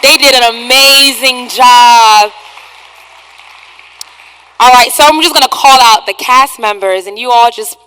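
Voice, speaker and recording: A woman's voice: a little high, measured pace, pauses often, clean tone, rough recording. A woman hosting a stage show, projecting to the audience as she announces the cast. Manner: projected, hyping up the crowd. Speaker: stage host presenting the cast. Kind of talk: curtain-call announcing to an audience. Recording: live stage sound with loud music.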